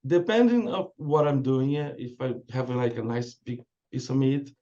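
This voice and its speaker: A man's voice: medium pitch, normal speed, warm tone, lo-fi sound. A man, chatting casually as a chef guest in an interview, with easy fillers and restarts. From southern Brazil.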